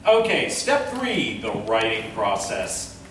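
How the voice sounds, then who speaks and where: A man presenting an informal convention panel, speaking haltingly as he thinks aloud. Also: lowish voice, wildly swooping pitch, fairly bright tone. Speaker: panel presenter, amateur director and voice actor. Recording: echoey room from far off, faint noise.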